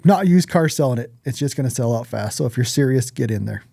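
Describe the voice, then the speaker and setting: A man's voice: medium pitch, animated, quick pace, gravelly, mellow, no echo, studio quality. An American man co-hosting a podcast in casual chat, with a blunt, freely swearing manner. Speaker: podcast co-host.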